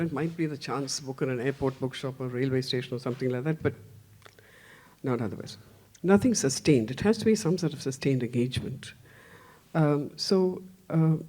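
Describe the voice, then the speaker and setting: Self-deprecating older woman, rambling. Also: sits low. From India. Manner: rambling and self-deprecating, with frequent restarts and soft laughs. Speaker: panelist, translation editor. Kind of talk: panel discussion. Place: literature festival panel.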